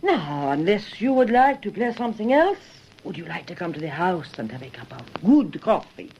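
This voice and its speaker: A woman's voice: fairly low pitch, big pitch swings, normal speed, a little mellow, low-quality recording. A motherly immigrant shopkeeper woman, speaks. She is encouraging and sympathetic, her intonation rising and falling freely, with slight noise behind her. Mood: friendly. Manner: coaxing, fond, encouraging. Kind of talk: radio drama acting.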